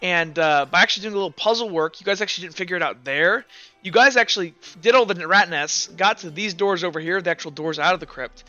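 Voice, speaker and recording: Halting, bright-voiced man running a tabletop game. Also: sits high, no echo, dull audio, soft background music. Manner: halting, stammering over words. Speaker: game master. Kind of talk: casual recap narration of a tabletop game.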